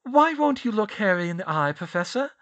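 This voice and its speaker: A sharp-tongued teenage witch girl with a tight, bright, high voice, put on by a male reader. She pushes her words out loudly, and her pitch leaps and dips with every thought. This is a storybook reading. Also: big pitch swings, brisk, dull audio. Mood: accusing. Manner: high, strained, outraged outbursts. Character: young teen witch girl, clever, anxious, quick-tempered.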